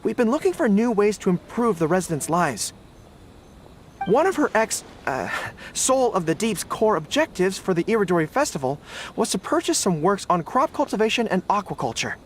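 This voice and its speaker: A man's voice: high voice, very animated, moderate pace, a little hiss or hum. An actor playing an earnest young male soldier in a video game, hesitant and polite, tending to stammer. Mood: nervous, earnest. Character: earnest young dog-eared soldier, male, flustered but dutiful. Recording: game music behind.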